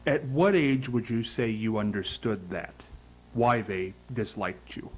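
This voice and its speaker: A man from Ohio hosting his own talk show, introducing a guest and asking formal, measured questions. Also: lowish voice, low-quality recording. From Ohio, USA. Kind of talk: interviewing a guest.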